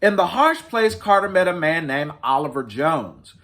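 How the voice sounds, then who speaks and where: A man's voice: fairly high pitch, animated, moderate pace, fairly bright tone. A man hosting a story time, reading a picture book aloud to families in a dramatic, emphatic way. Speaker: children's storybook reader, host.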